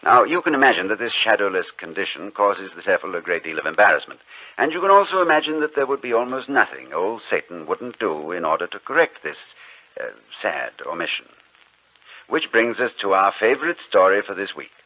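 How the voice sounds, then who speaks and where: A male radio announcer telling a spooky tale in a wry, measured way. His voice is fairly low but moves freely through its range. The sound is a dull, lo-fi old radio broadcast. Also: big pitch swings. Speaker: announcer and narrator. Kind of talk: scripted radio narration.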